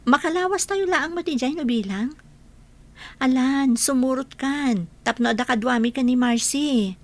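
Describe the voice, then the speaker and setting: A woman's voice: sits high, moderate pace, close to an everyday voice. A woman with an Ilocano accent playing a kindly, easygoing village hostess in a radio drama. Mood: inviting. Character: hospitable village woman, kindly older-sister type. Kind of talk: Filipino radio drama acting.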